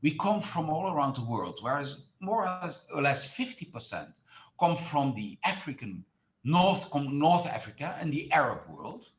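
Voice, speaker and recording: A man's voice: fairly high pitch, big pitch swings, moderate pace. A middle-aged man from the Netherlands, a tourism marketing expert who speaks with many fillers and restarts in an online presentation.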